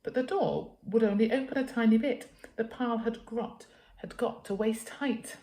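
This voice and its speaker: A woman's voice: on the high side, moderate pace, dark tone. A woman with a British accent reading a children's story expressively, as a teacher would to pupils. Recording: echoey room.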